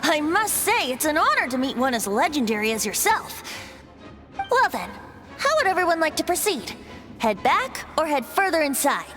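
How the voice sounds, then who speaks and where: A youthful, playful character from an anime-style game. The voice is high and lively in pitch. The manner stays breezy and courteous, with music playing behind. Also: female voice, normal speed, in a large hall.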